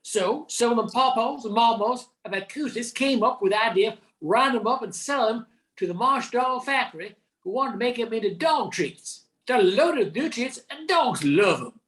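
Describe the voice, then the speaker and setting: A roomy recording of an older woman with a slightly high voice. She reads scripted storytelling narration for a radio drama. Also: animated, normal speed, few pauses.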